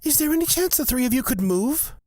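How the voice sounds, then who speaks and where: A woman playing a menacing, self-assured male blood witch in a fantasy audio drama, speaking in a deliberate, commanding way. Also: high voice, lively intonation, warm tone, no room sound, clean studio sound. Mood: determined.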